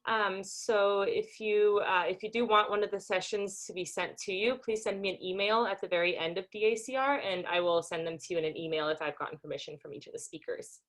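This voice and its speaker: A woman's voice: mid-range voice, brisk, few pauses, a bit bright. A woman from Denmark who grew up in Singapore and the US, hosting a conference welcome over a video call in a casual, informal way with many ums and small laughs. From Denmark, raised in Singapore and the US. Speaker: conference organizer and host. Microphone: computer microphone on a video call.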